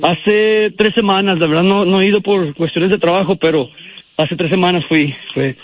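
A man's voice: high-pitched, normal speed. A man in his twenties chatting casually as a radio caller, in a hesitant, polite way. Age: 20s. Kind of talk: casual chat. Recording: dull lo-fi call on radio.

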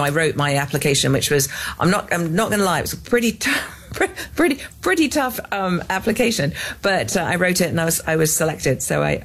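An English woman in her fifties, a scientist guest in an interview, talking earnestly with many restarts and fillers. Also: low voice. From England. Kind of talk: interview conversation.

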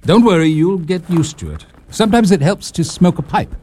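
A wry, courteous male nurse with a London accent, as an actor plays him in an audio drama. His pitch rises and falls with lively swings. He talks with an easy, worldly dryness. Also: normal speed. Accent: London English. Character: English male nurse with leprosy, wry hospital superintendent.

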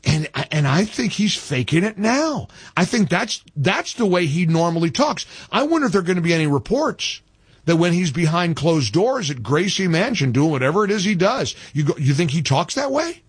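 A man's voice: high-pitched, sing-song, normal speed, husky, no room sound. A male talk radio host speaking combatively, often repeating phrases for emphasis.

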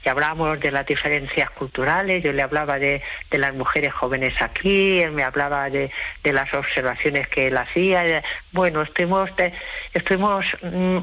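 A tired woman interviewed by phone, giving a personal account in a halting, reflective way. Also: deep voice, sharp tone. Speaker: interview guest, host to migrants. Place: remote phone call. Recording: muffled, band-limited phone call.

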